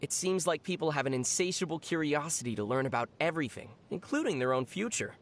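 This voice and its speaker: A young adult actor playing a calm, gently teasing traveler hero in scripted video game dialogue. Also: mid-range voice, fast talker, natural voice. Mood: calm, informative.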